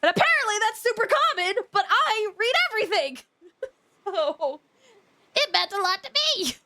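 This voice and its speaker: A woman hosting a livestream, chatting casually with viewers in an expressive, emphatic way. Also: very high-pitched, moderate pace, noisy background. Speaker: livestream host.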